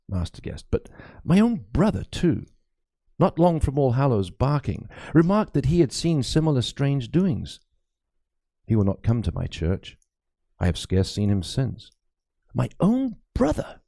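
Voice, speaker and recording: A man's voice: mid-range voice, big pitch swings, measured pace, vocal fry, mellow, dry recording, studio quality. A man voicing a frightened, fervent medieval priest in an audiobook, pleading and stammering with dramatic urgency. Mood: dread. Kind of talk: dramatic audiobook character acting.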